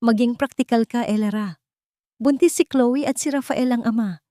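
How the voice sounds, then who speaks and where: A woman acting as a wealthy, controlling mother from a radio-style love drama. She speaks in a raised pitch, stern and cold, pressing her point without yielding, in a dry close recording. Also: normal speed, no room sound, close to an everyday voice. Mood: cold, insistent. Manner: stern, flat, unyielding. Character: cold, domineering rich Filipino mother scolding her daughter.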